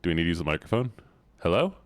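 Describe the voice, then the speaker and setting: Goofy deep-voiced man streaming. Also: sing-song, racing, no room sound. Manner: goofy, playful, laughs often. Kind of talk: casual livestream chat.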